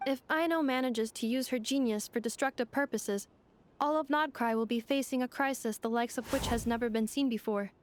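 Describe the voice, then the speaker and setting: A woman voicing a calm, logical android helper woman who speaks in clipped, formal, machine-like reports in a video game. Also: fairly high pitch, fairly quick, slight background noise, lightly acted. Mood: steady.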